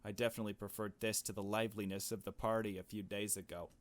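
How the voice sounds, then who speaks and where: A man's voice: fairly low pitch, flat delivery, fast talker. A man reading a visual novel aloud and commenting casually in a slangy, informal way. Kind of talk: casual gameplay commentary and narration.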